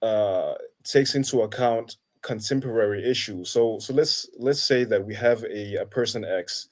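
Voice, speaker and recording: Hesitant man in his thirties. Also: fairly low pitch. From Kenya. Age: 30s. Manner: halting, many restarts and fillers. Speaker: guest. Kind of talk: casual conversation. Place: Denmark.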